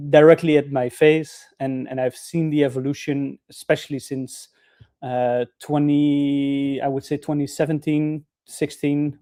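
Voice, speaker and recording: A man's voice: mid-range voice, dark tone. A man from the Netherlands, speaking in an office over a video call, telling his career story earnestly in long, winding sentences. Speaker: franchise partner, guest speaker. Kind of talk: webinar storytelling.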